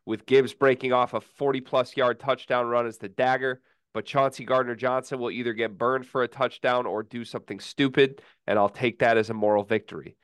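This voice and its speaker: A man co-hosting a casual sports podcast, sick and feeling rough, with dry deadpan teasing. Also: mid-range voice, a little fast, dry recording. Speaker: podcast co-host.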